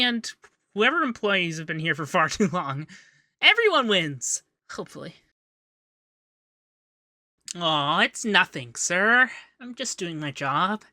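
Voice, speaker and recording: A man playing a young, fussy, devoted femboy secretary in a scripted ASMR roleplay, chatty and expressive. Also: sits high, no echo, studio quality, full character voice. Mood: businesslike, upbeat, then bashful, earnest. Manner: chatty, expressive, bashful asides. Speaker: voice actor in roleplay audio.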